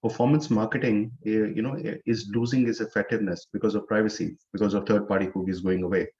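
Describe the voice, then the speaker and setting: A lower-pitched man talks through his business in a measured, explanatory way. He leans on "you know" fillers as he goes. The audio is dull and lo-fi, like a video call. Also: steady tone, darkish tone. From India. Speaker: startup co-founder guest. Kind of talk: business interview answers.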